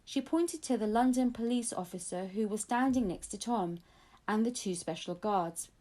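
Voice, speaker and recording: A woman's voice: mid-range voice, animated, normal speed, dark tone. A woman reading a children's story aloud as an audiobook narrator. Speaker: audiobook reader.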